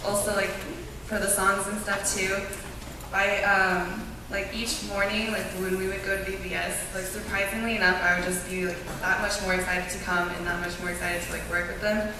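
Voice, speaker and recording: A young woman tells her stories in a chatty, animated way, filling gaps with casual fillers. She sits well away from the microphone in a strongly echoing room. Steady background noise runs underneath her voice. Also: mid-range voice, normal speed. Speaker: youth group member sharing a mission trip. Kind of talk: informal testimony to a church group. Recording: echoey room, distant mic, clear background noise.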